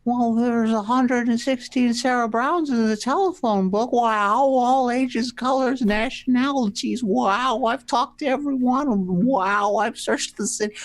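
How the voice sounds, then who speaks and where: Woman playing an exasperated, lovelorn man, fairly high voice. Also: close to an everyday voice. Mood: desperate, smitten. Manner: drops drawn-out wows into sentences, insistent. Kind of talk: comic radio play reading.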